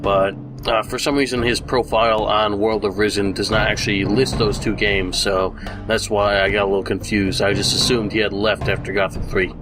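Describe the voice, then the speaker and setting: A man's voice: fairly low pitch, little pitch movement, moderate pace, over music. A man who sounds congested from a recent illness gives a casual, rambling news update for his channel, full of fillers and restarts. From United States. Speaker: gaming YouTuber.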